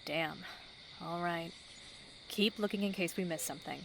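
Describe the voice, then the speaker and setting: A woman's voice: fairly low pitch, normal speed, vocal fry, a bit bright, slight background noise, rough recording. A woman playing a businesslike, weary police detective in an audio drama, with a dry manner. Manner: dry, no-nonsense.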